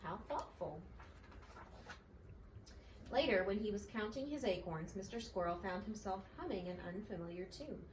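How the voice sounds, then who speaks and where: A woman reads a children's storybook aloud in a medium-pitched voice. The recording is echoey and slightly distant, with faint noise behind her. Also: lively intonation, a little fast, few pauses. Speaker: mother reading a storybook.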